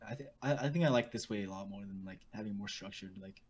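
A young man talks in a relaxed, rambling way on a casual podcast. He laughs often and drops "bro" into his sentences. The video call recording has a faint hum of background noise. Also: lowish voice, fast talker. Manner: laid-back, laughs often, says "bro" a lot. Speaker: podcast co-host. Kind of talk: casual chat.

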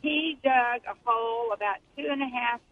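A woman's voice: on the high side, moderate pace, phone-quality sound, slight background noise. A woman with a Texan accent calling in from her car, telling a rambling story in casual chat. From Texas Panhandle. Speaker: caller. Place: driving in a car. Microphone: phone.

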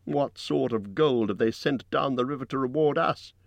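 A man's voice: mid-range voice, animated, measured pace, no echo, voice pushed up. A cunning old town master, played by a male narrator, speechifying in a raised voice. He is pompous and indignant, a self-serving orator from a fantasy storybook, recorded close and dry. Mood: indignant, pleading.

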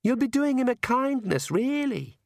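A man's voice: very high-pitched, very animated, normal speed, creaky, dry recording, clean studio sound, voice pushed up, exaggerated voice. A man voicing a bratty, cruel teenage vampire daughter in a storybook, sulky and scornful. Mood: impatient, cruel.

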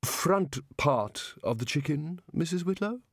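A man's voice: on the high side, lively intonation, a little slow, gravelly, no room sound, studio quality, pitched above the performer's own voice, exaggerated voice. A man voicing a greedy, contented old wizard at dinner who talks in a fruity, over-polite way. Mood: genteel.

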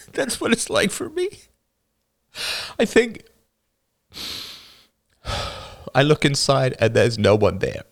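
A man voicing a grieving young teenage boy who talks haltingly, his voice climbing high and strained. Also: huge pitch swings, gravelly, full character voice. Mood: anguished.

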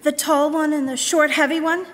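A woman's voice: high voice, steady tone, normal speed, over music, close to an everyday voice. A woman playing a concerned, eager companion of a detective in a live radio drama. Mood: anxious. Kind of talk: live old-time radio crime drama acting. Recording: live stage in echoey hall.

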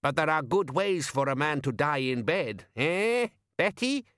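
A man's voice: a little high, expressive, a little slow, a bit bright, dry recording, studio quality, pitched above the performer's own voice. A man voicing a toothless, cackling, very old village woman who teases the young women. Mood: teasing. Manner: cackling, teasing.

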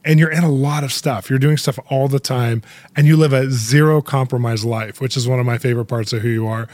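A man hosting a podcast interview, chatty and upbeat, with quick laughs, in a studio. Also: medium pitch, steady tone, a little mellow, dry recording. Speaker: podcast host. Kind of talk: casual interview chat.

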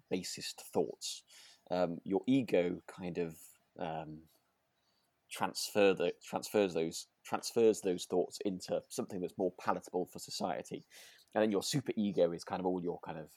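A man's voice: deep voice, normal speed, darkish tone, no echo. A man co-hosting a podcast in casual chat, rambling and often correcting himself as he goes. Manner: hesitant, rambling, self-correcting. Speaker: podcast co-host. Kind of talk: casual chat reviewing a radio drama.

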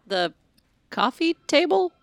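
A woman's voice: high voice, big pitch swings, slow pace, no echo, soft background music, heavily acted. A woman playing an earnest, literal-minded crime scene technician in a comic noir radio play, matter-of-fact and over-detailed.

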